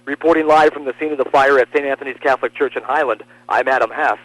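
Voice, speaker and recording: A man's voice: mid-range voice, fairly even intonation, fast talker, a bit bright, no echo, rough recording. American male radio news reporter. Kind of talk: scripted radio news delivery.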